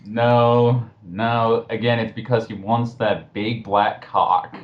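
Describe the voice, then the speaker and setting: A man with a medium-pitched voice and an unhurried pace, heard through dull, lo-fi voice-chat audio. He makes snide, blunt remarks as a casual co-commentator. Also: little pitch movement.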